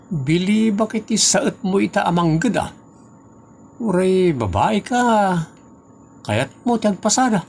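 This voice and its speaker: A man's voice: high voice, animated, a little slow, creaky, long pauses, a little mellow, slight background noise, heavily acted. A man playing an outspoken, teasing friend, blunt and bantering, in a comedy audio drama.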